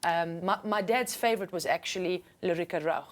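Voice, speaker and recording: A woman with a South African accent and a low register, talking casually and earnestly as a singer being interviewed. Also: a little fast. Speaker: singer and musician guest.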